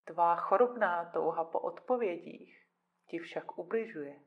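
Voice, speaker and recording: An actress playing a controlling psychiatrist in an audio drama, speaking in a measured, formal and condescending manner. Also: female voice, lowish voice, phone-quality sound, natural voice. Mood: patronizing, firm. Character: controlling, clinical female psychiatrist, patronizingly calm.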